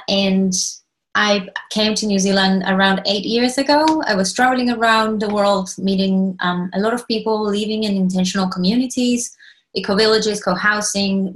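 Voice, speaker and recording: A woman in her thirties with an Argentine accent, giving a relaxed, thoughtful presentation over a video call. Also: mid-range voice. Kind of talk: casual online presentation.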